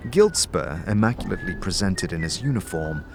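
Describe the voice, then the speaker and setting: A man narrating a fantasy audio drama as game master, in a measured, descriptive, scripted style. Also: deep voice, animated, gravelly, over music. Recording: noisy ambient sound bed behind voice.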